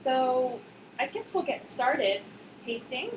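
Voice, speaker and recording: An American woman in her thirties giving an informal, explanatory talk as a winemaker at a tasting, pausing often in a hesitant way. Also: sits high, very animated. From Boston suburbs, US East Coast. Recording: echoey room, muffled, noisy background.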